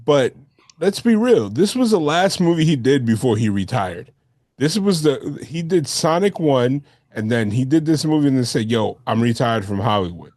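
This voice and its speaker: Animated man chatting about films. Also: medium pitch, moderate pace, no room sound, clean studio sound. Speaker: podcast co-host. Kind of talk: casual film discussion with friends.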